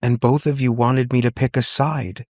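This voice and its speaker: A man's voice: mid-range voice, steady tone, moderate pace, a little mellow, dry recording, clean studio sound, voice pushed up, lightly acted. A man voicing a frightened little girl in an audiobook story, hesitant and earnest in her distress. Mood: tearful, distressed. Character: frightened little girl, tearfully recounting a nightmare.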